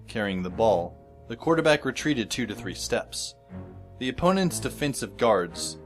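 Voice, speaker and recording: A wry, observant schoolboy played by a man tells his story in an audio drama. His narration is scripted, wordy and descriptive, at a middling pitch, with music clearly playing behind it. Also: lightly acted. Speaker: first-person narrator.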